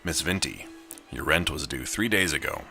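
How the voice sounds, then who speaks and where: A man's voice: rumbling low, expressive, moderate pace, vocal fry, bright, forceful tone, faint music behind, full character voice. Man putting on a stern, nagging landlady. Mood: scolding. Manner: nagging, lecturing. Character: stern, scolding middle-aged landlady, large woman. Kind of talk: visual novel read aloud.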